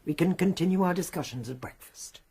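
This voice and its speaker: An actress playing a shrewd, composed older woman detective in a 1920s radio whodunit, measured and authoritative. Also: on the low side, natural voice. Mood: cool, measured. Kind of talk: radio drama acting.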